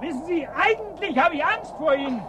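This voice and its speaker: An actor playing a cynical, suspicious looter in a German radio drama, talking in a gruff, curt, mocking way. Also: male voice, extremely high pitch, expressive, a little fast. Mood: suspicious, defiant. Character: cynical, suspicious male looter in a frozen ruined town. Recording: 1980s radio drama, loud outdoor noise.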